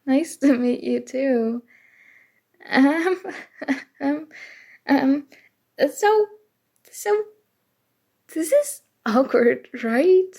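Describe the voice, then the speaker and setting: A young woman playing an awkward, nerdy college student in an ASMR roleplay, flustered and halting, prone to self-deprecating rambling. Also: high-pitched, dark tone, exaggerated voice. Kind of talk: ASMR roleplay, acted casual conversation.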